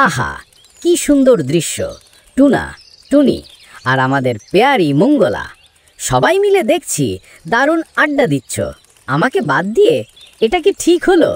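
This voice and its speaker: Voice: medium pitch, wildly swooping pitch, normal speed, pauses often. An actor voicing a sly, crafty grey fox in a children's cartoon, wheedling and theatrical with false friendliness. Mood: falsely sweet. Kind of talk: children's cartoon story dialogue.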